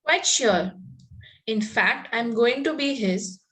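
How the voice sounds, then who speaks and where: A spirited young country girl in a Victorian comedy, acted by a woman at a table read. The voice is on the high side. She is sweetly polite with a pointed edge, and she stumbles a little as she reads. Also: expressive, takes it slowly, a bit bright. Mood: sweetly confiding. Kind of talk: amateur reading of a Victorian comedy play.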